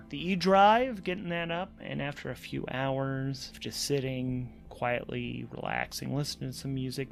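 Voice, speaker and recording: A man with a fairly high-pitched voice narrates a solo tabletop game as its game master. He talks his way through it conversationally and with animation, thinking out loud. Music plays behind his voice. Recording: background music under the voice.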